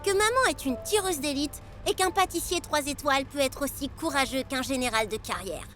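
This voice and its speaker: A woman's voice: high voice, lively intonation, brisk. A woman with a French accent voicing a cheeky, eager, adventurous young boy in a cartoon. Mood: cheerful. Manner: eager, exclamatory. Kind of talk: animated series voice acting. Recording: music behind the voice.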